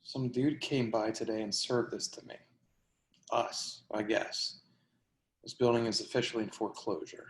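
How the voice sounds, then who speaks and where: A performer reading the part of a crude, excitable young city tenant in a play, over a roomy video call. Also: mid-range voice, moderate pace, lightly acted. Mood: matter-of-fact.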